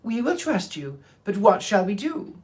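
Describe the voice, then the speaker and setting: Tiny squeaky mouse queen, regal and quick to take offence, very high and far-off in tone. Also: big pitch swings, darkish tone. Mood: agreeable. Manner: indignant and regal.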